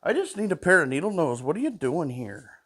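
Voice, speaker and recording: A man's voice: on the high side, big pitch swings, a little fast, fairly bright tone, breathy edge. A man with a stuffy nose talking to himself while he takes apart an old mower in his workshop, humming between tasks. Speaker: small engine repair vlogger. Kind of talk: casual talk while working.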